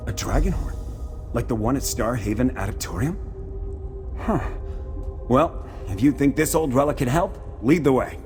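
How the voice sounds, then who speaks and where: A man's voice: medium pitch, lively intonation, moderate pace, over music, close to an everyday voice. A man playing a confident, courteous soldier ally in a fantasy video game, articulate and earnest. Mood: curious, eager.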